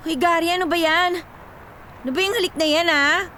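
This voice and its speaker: A woman's voice: very high voice, fairly even intonation, brisk, bright, forceful tone, a little hiss or hum. A Filipino actress in a radio drama playing a teasing, secretly lovelorn young woman in her twenties. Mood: playful, flustered. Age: 20s. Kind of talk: Tagalog radio drama acting. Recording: music behind the dialogue.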